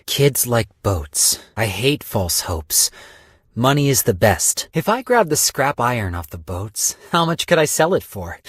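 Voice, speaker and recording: Game stock broker, husky, lively pitch, deadpan, mercenary, dry studio sound. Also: male voice, no echo. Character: weary, cold-blooded businessman stock broker, male, adult. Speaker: game character voice lines.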